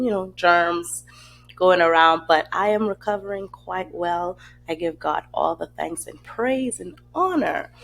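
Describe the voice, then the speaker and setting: A woman hosting a faith podcast, her voice raspy, dry and hoarse from a cold, talking earnestly and reflectively with many fillers and restarts. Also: lowish voice. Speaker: podcast host. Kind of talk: casual faith podcast talk.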